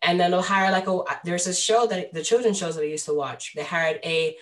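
A woman's voice: lowish voice, brisk. A young woman in a casual chat with friends, voicing thoughtful opinions. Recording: voice a bit distant from mic.

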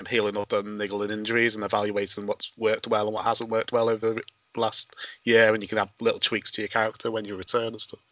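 A man hosts a wrestling podcast, talking quickly and tripping over his questions. His voice sits low and steady. The recording is dry and close. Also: dry recording, muffled recording. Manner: fast, stumbling questions. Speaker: podcast host. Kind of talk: casual interview.

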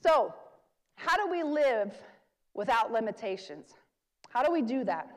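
A woman's voice: a little high, big pitch swings, a little slow. A woman preacher giving a sermon, urging her listeners and repeating key phrases for emphasis. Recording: long hall reverb.

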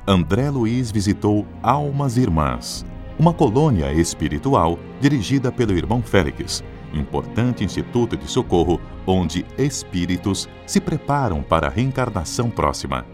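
Animated Brazilian male announcer over music. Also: fairly low pitch, moderate pace. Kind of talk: scripted radio drama recap and title announcing. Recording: prominent music behind the voice.

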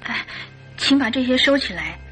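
A woman acting a gentle young English wife with a secretive streak in a Chinese radio drama. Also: high-pitched, expressive, moderate pace, soft background music. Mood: trusting, gentle.

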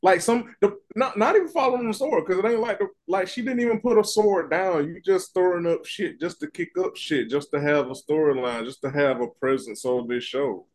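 A man co-hosting a casual gossip podcast, animated and profane, cracking jokes as he talks. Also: sits high, a little fast, hardly any pauses. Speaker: podcast co-host.

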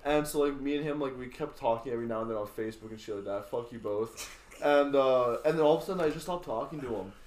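A man's voice: medium pitch, quick pace, darkish tone, roomy sound. A young man casually telling a long story among friends, laid back and swearing a lot, with plenty of filler words. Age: young adult.

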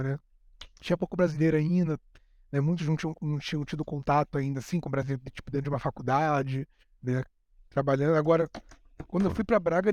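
Fast, higher-voiced Brazilian man chatting. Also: darkish tone, no room sound. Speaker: podcast co-host. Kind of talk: casual chat.

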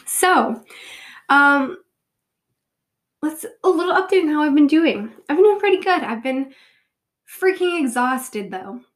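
A woman's voice: high-pitched, expressive, unhurried, pauses often. Congested young woman chatting casually. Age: 20s. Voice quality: nasal, sniffly. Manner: rambling, self-deprecating, giggly. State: congested from a cold. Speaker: podcast host. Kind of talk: casual solo chat.

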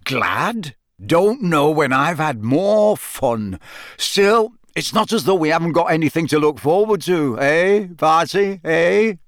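A man's voice: fairly high pitch, animated, slow pace, no echo, studio quality, pitched above the performer's own voice. A man voicing a jovial, careless, boyishly enthusiastic wizard in an audiobook, with a breezy, chummy manner. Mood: excited, dismissive. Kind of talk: audiobook character voice.

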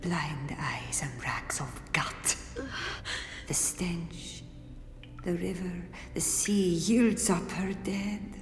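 Gothic audio drama: tormented riddling woman, whispery, extremely slow, long reverb. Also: lowish voice. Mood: eerie, hushed. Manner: slow, theatrical, breaking into whispers. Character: haunting, tormented woman of the river's dark, riddling and menacing. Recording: roomy echo, long reverb, faint music.

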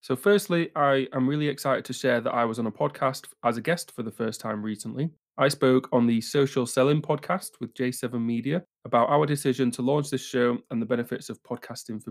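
A man hosting a marketing podcast, speaking at a middling pitch with an even, well-rehearsed delivery. He reads a polished welcome and puts questions to his guest in a steady, conversational way. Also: a little fast, few pauses. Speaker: podcast host.

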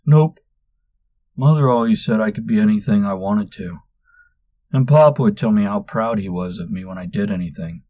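A man's voice: lowish voice, expressive, normal speed, dark tone, no echo, lo-fi sound, voice pushed up. A man voicing the exasperated young Manx. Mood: sulky, weary. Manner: exasperated, insistent.

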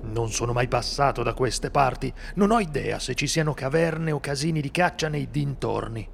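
A man playing a seasoned, solitary monster hunter in an amateur radio drama, speaking gravely and steadily. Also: medium pitch, animated, normal speed, slight background noise. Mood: grim. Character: seasoned male monster hunter, brooding loner.

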